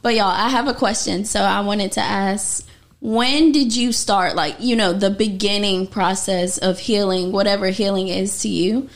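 A woman's voice: medium pitch, steady tone, moderate pace. A woman in her twenties from North Carolina hosting a podcast, chatty and upbeat with casual slang. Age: 20s. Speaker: podcast host.

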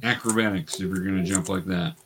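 A man's voice: low voice, fast talker, slight background noise. A man acting as game master, patiently narrating and explaining the rules of a tabletop role-playing game to beginners.

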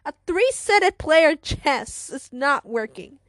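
Young female storyteller as an indignant, high-pitched schoolkid. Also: very animated, slow, no room sound, exaggerated voice. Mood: incredulous. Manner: indignant, emphatic. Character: argumentative schoolkids, incredulous and loudly indignant.

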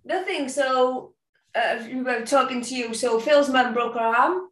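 A woman's voice: high voice, unhurried, fairly bright tone, recorded from a distance. A woman co-hosting a podcast, chatting casually with giggles and fillers. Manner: giggly, rambling with lots of fillers. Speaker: podcast co-host. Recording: echoey, a bit off-mic.

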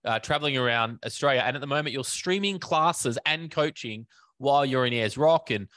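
A man's voice: medium pitch, a little fast, a bit bright, dry recording. A man hosting a fitness business podcast, talking casually with many fillers and restarts. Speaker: podcast host. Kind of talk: casual interview.

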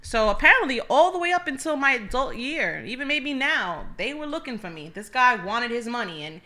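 Bright-voiced New woman telling a story. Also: high voice, fairly quick. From Hawaii and the Bronx. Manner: lively storyteller, acts out quotes. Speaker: guest, church member. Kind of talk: telling her life story.

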